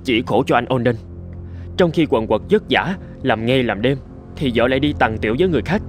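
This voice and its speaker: A man's voice: medium pitch, lively intonation, a little fast, soft background music, natural voice. Earnest, protective younger brother played by a man. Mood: indignant. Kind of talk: Vietnamese animated drama dubbing.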